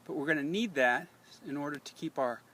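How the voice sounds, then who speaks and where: A man's voice: a little high, fairly quick, a bit bright. A middle-aged man giving a casual hands-on how-to, thinking aloud in a folksy way as he works inside a vehicle. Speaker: DIY demonstrator. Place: inside a parked Jeep. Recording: noisy handheld recording in a vehicle.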